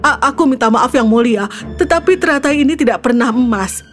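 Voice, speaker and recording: A woman's voice: high voice, brisk, lightly acted. A woman voicing a brave young woman disguised as an army captain, hesitant and deferential in manner. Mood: urgent warning. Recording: music behind the voice.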